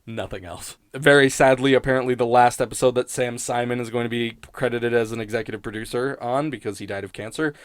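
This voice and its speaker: A man's voice: mid-range voice, steady tone, quick pace, hardly any pauses, no echo, studio quality. A man rambling casually and sardonically in an unscripted review, swearing freely like a podcast critic.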